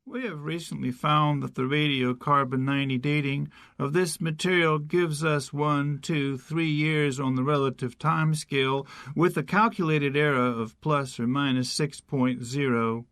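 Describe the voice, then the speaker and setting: An actor playing an unemotional, official space expert, reciting data in a satirical audiobook story. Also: male voice, a little high, steady tone, measured pace, few pauses, fairly bright tone, dry recording, clean studio sound. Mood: flat, official. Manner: matter-of-fact, unemotional.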